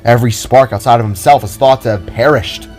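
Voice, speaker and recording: A man's voice: mid-range voice, brisk, a little mellow, faint music behind. A man narrating a scripted audio drama in a dramatic storytelling style.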